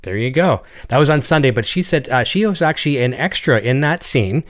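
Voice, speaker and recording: Fast-talking Canadian host. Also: male voice, medium pitch, expressive. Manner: chatty, enthusiastic, reads viewer comments. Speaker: show host. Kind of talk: casual interview hosting.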